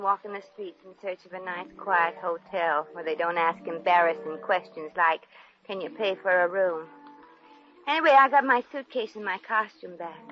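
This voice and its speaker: A woman's voice: medium pitch, natural voice. An actress playing a brassy, hard-up showgirl with a slangy, sassy manner in a 1950s radio comedy. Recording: dull old radio recording with hiss.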